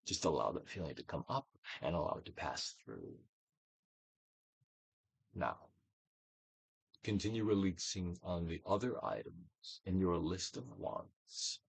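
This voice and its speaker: Male narrator, low gravelly voice, theatrical and deliberate reading of self-help instruction. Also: no echo. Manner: theatrical, deliberate actorly delivery with emphatic swells and hushed drops. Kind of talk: theatrical dramatic reading, guided-meditation instruction.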